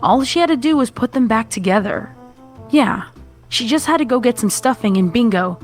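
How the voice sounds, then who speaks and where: A woman's voice: on the high side, expressive, moderate pace, soft background music. A woman narrator reading a scripted horror story.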